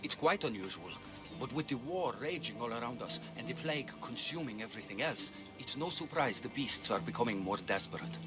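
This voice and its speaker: A man acting as an ancient healer torn by his conscience. His delivery is earnest and measured. It is game drama with clear music behind the voice. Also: mid-range voice, animated, close to an everyday voice. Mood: grateful, earnest. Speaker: video game character. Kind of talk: dramatic game cutscene dialogue.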